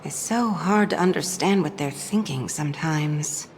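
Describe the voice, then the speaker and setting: Slow-talking female merchant in a reverberant hall. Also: low voice, a little mellow, a little hiss or hum. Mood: chatty, puzzled. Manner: hesitant, sighing, conversational. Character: chatty, shrewd but obliging female market stall merchant. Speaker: market stall merchant. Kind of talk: video game voice acting. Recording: long reverb, music behind.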